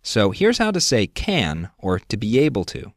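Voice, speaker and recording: A man's voice: lowish voice, wildly swooping pitch, normal speed, no echo, clean studio sound. A man hosting a scripted language lesson, explaining step by step and prompting the listener with questions. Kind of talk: scripted instructional narration.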